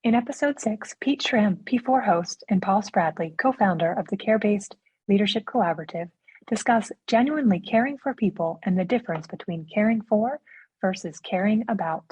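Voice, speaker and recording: A woman, the organization's executive director, reading a scripted podcast introduction in a measured, announcer-like way. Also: medium pitch, a little mellow, husky, no room sound, low-quality recording.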